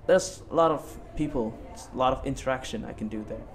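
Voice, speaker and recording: Student podcast guest, male, late teens, from Bali. Mid-pitched, halting casual answers, audible background noise. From Bali, Indonesia.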